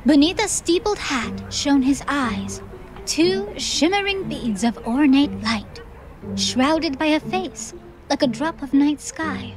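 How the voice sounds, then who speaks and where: High-voiced woman narrating fantasy. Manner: theatrical storytelling. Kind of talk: scripted fantasy audiobook narration. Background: soft music.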